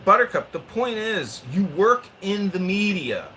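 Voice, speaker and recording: Male podcast host, lively pitch, crude and combative delivery, noisy background. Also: a little slow. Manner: crude, combative, swings between mutters and bursts. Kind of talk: provocative casual chat.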